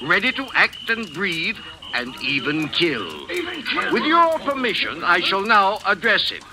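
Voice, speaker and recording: A man playing a formal, defiant professor in an old-time radio mystery, speaking theatrically and deliberately on a noisy old recording. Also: high voice, very animated. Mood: grandly theatrical. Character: theatrical, defiant older male professor staging an illusion.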